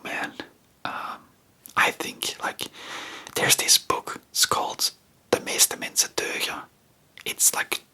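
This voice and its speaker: A man's voice: bright, forceful tone, poor audio. Man whispering rambling ASMR chat. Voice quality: breathy unvoiced whisper. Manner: rambling, hesitant, spontaneous. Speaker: ASMR video host.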